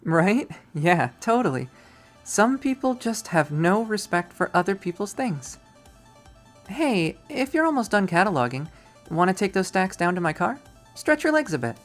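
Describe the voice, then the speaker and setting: Someone doing a popular girl from a visual novel, higher girlish voice, emphatic and touchy, music behind. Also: very animated, moderate pace, close to an everyday voice. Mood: bright, chatty. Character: talented, popular young woman, bubbly but touchy.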